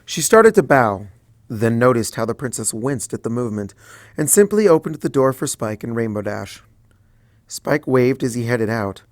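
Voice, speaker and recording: A man narrating a fan fiction story in a plain, even storytelling delivery on a close home mic. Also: medium pitch, normal speed, dry recording. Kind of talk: fanfic audiobook reading.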